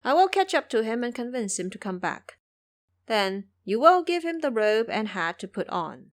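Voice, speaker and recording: A woman's voice: fairly high pitch, sing-song, moderate pace, dry recording, studio quality. A woman voicing a kindly, mysterious old woman in an audio drama, solemn and instructive. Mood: solemn, guiding.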